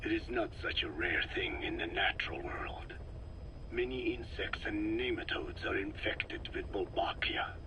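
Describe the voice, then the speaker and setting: An actor playing a frail, grave old scientist in a video game, speaking in slow, formal, halting phrases with a breathy rasp. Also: male voice, on the low side, lively intonation, noisy background. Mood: patient lecturing. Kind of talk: dramatic video game dialogue.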